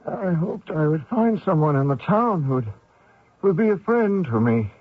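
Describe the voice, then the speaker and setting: A man's voice: fairly high pitch, lively intonation, unhurried. An actor playing a desperate, wounded American fugitive soldier who dramatically recounts his escape in old-time radio style. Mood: pleading, exhausted. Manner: dramatic first-person narration with strained pleading. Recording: tinny old radio broadcast with music.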